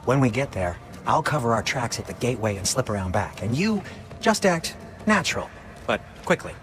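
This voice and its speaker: Conversational, wry dwarf for a game cutscene, medium pitch, highly animated intonation. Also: male voice, fairly quick, soft background music. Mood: reassuring. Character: wry, guilt-ridden male dwarf craftsman, adult. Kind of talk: naturalistic video game acting.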